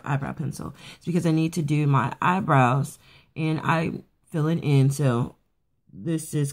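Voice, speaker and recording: A woman with a raspy morning voice casually talking through a quick makeup routine, rambling a bit with fillers and restarts. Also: deep voice, darkish tone. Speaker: beauty vlogger. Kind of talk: casual makeup tutorial chat. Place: at home getting ready for work.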